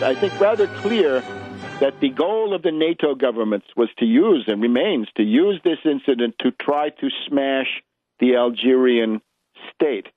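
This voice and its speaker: A man's voice: mid-range voice, dry recording. Man on narrowband radio, emphatic political commentary. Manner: emphatic, rhetorical questions, mocking when quoting. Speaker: radio host. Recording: narrowband, muffled radio broadcast sound.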